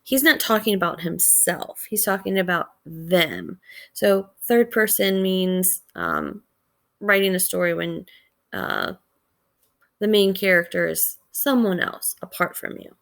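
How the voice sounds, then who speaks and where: Female teacher, unhurried delivery with some creak, reading a story and explaining it with questions. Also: fairly low pitch, animated, fairly bright tone. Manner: measured, explanatory, pausing to question listeners. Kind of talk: read-aloud lesson with commentary and questions.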